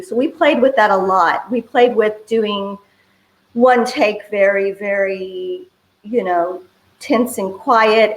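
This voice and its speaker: A woman speaking as a film director guest in a casual interview, in loosely strung sentences dotted with "you know". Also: mid-range voice. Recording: roomy, echoing voice.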